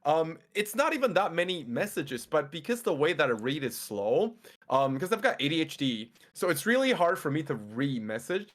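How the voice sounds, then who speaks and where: A man's voice: on the high side, normal speed. A young man whose first language is Mandarin, a podcast guest chatting casually and rambling with lots of fillers. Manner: rambling, excitable, frequent fillers and laughs. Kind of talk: casual chat.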